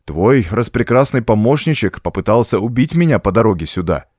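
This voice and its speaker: A man's voice: lowish voice, animated, a little fast, darkish tone, dry recording, studio quality. Man acting a blunt, urgent tough working man. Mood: angry. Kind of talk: audiobook character acting.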